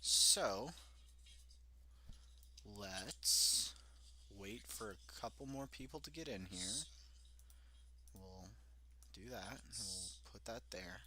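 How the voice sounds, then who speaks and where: Young male streamer, lowish voice, talks himself through setup in a relaxed drawl. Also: takes it slowly, long pauses, noisy background. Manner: offhand, thinking aloud while setting up. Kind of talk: casual livestream chat.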